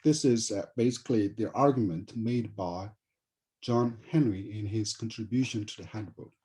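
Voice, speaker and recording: A man closing his academic talk and then introducing the next speaker, halting now and then with fillers. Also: on the low side, warm tone, rough recording. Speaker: session chair and presenter. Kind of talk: academic presentation.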